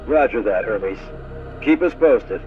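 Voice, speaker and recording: A man's voice: medium pitch, animated, slow pace, phone-quality sound, over music. A man playing a clipped mission control operator in a video game, heard through a radio filter. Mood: official, brisk. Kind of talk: cinematic video game acting. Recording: thin band-limited radio link.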